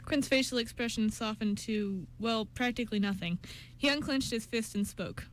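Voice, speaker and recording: A woman's voice: on the high side, moderate pace, rough recording. A woman reading scripted narration for an amateur radio play, with small stumbles.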